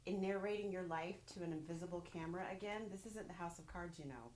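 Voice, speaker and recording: A woman's voice: fairly low pitch, fast talker, darkish tone, a little hiss or hum, close to an everyday voice. A woman playing the storyteller's casual, teasing wife in a scripted comedy skit. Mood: impatient, sarcastic. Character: chirpy, sarcastic wife of the storyteller. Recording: roomy, echoey voice recording.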